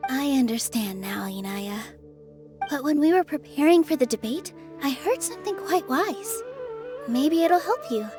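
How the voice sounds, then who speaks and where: A high-voiced young theater performer in a video game. The delivery is gentle and sincere, full of soothing encouragement, with light music underneath. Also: female voice, lively intonation, a little slow. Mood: gentle, understanding. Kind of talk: scripted video game voice acting. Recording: music behind the voice.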